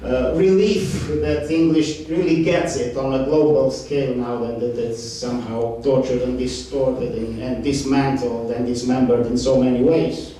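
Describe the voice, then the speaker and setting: A man speaking on a discussion panel, halting, with many fillers and restarts. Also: medium pitch, fairly even intonation, mellow. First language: Romanian. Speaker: panel participant. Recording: echoey hall, distant mic, faint noise.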